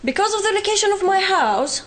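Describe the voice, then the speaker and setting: A young woman with a Palestinian British accent, giving an earnest talk on her own experience, often pausing with fillers. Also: extremely high pitch, sing-song. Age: young adult. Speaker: guest speaker. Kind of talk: university talk from personal experience.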